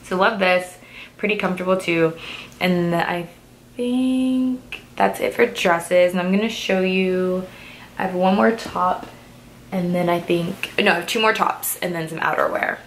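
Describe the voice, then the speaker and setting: A woman's voice: lowish voice, a little slow, slight background noise. A woman in her twenties chatting casually through a clothing try-on haul, a little stuffy from a cold and full of "like" and "um" fillers. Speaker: fashion vlogger.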